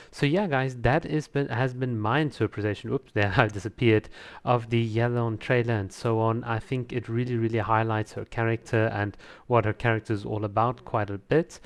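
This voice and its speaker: A man reviewing a video in casual commentary, repeating words for emphasis. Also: fairly low pitch.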